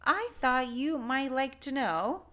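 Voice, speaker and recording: A woman's voice: high-pitched, lively intonation, slow, fairly bright tone, pitched above the performer's own voice, heavily acted. A woman voicing a fussy, rule-bound male library assistant in a children's storybook, officious and given to exclaiming. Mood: gentle, measured.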